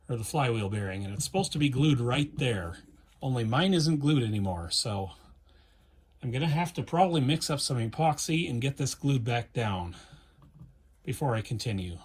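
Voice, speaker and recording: A man's voice: medium pitch, lively intonation, normal speed, breathy edge. A man giving casual repair commentary at the workbench, informal and thinking aloud as he works. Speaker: repair vlogger and host. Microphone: backup microphone, not the usual audio recorder.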